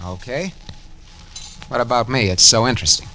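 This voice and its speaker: A man's voice: mid-range voice, moderate pace, pushed and bright, a little hiss or hum, lightly acted, low-quality recording. An actor playing a guilty, nervous schemer who narrates his own crime in hardboiled slang, in 1940s radio drama style. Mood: wary. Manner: hardboiled slangy first-person storytelling. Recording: vintage 1940s radio recording, music behind.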